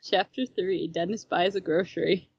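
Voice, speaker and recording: A woman co-hosting a podcast, reading comic fan fiction aloud casually in a husky, slightly breathy voice. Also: on the low side, steady tone, moderate pace, a little mellow, no echo, muffled recording.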